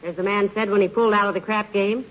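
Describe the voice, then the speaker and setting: An actress playing a patient, sensible wife with a wry, gently scolding manner in a 1940s radio comedy. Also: female voice, mid-range voice, fairly even intonation, quick pace, lightly acted. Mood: brisk, patient. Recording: muffled, hissy old radio recording.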